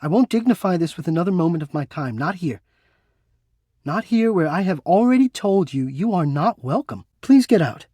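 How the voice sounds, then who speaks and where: A man's voice: high-pitched, animated, normal speed, mellow, husky, dry recording, studio quality. An actor voicing a sentient home ventilation system in a video game, an eloquent, dramatic male romantic with florid, formal delivery. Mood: weary, disgusted. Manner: florid, theatrical, formal wording.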